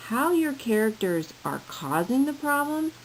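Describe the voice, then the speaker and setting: A woman's voice: high voice, big pitch swings, a little slow, poor audio. A woman teacher narrating a scripted lesson for children in simple, explanatory phrasing. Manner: simple, explanatory phrasing addressed to young learners. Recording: clear background hiss.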